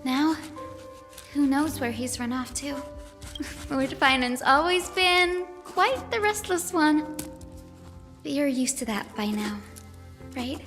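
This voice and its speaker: A woman playing a friendly young woman in a video game, chatty and gently amused. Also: high-pitched, animated, slow, long pauses, exaggerated voice. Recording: long reverb, music behind.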